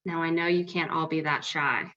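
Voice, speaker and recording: A woman's voice: sits low, steady tone, normal speed, pushed and bright. Coaxing woman hosting an online meeting. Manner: upbeat, coaxing people to speak. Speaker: meeting host. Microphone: video call. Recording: dull lo-fi call audio.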